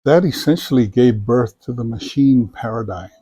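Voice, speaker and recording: Older guy, slow thoughtful answers, warm low-key tone, dry sound. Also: breathy edge, no room sound, muffled recording. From Samoa. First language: Samoan. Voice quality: measured, thoughtful delivery with frequent fillers. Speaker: interviewee, retired professor. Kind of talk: reflective interview answers.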